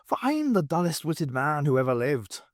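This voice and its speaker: A man voicing a sorrowful, pleading Irish space traveller with a high, strained voice and an Irish accent. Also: big pitch swings, normal speed, no echo, clean studio sound.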